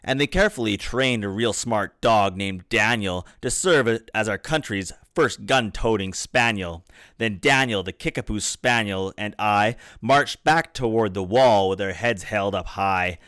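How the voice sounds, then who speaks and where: A man reading a rhyming children's story aloud with expressive, theatrical phrasing, like a father to his kids. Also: fairly low pitch, measured pace, dry recording, clean studio sound. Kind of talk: storybook read-aloud in rhyme. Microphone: USB desktop microphone.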